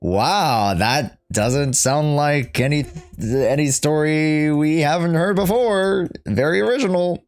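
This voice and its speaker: A man's voice: on the high side, takes it slowly, hardly any pauses. A man livestreaming a casual, slangy reaction to a game, joking and rambling as he goes.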